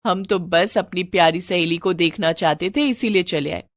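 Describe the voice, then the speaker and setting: A woman's voice: fairly low pitch, moderate pace, no room sound, studio quality, pitched below the performer's own voice, close to an everyday voice. A woman voicing a cheerful, affectionate young woman, polite and friendly, visiting a friend in a moral story. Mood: warm, reassuring.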